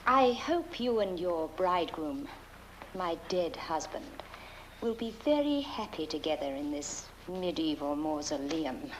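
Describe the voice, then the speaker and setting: An actress playing a bitter, haughty aristocratic widow, imperious and sarcastic, in a film drama. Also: female voice, sits low, lively intonation, measured pace, slight background noise, low-quality recording. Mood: icily sarcastic. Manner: imperious, cutting.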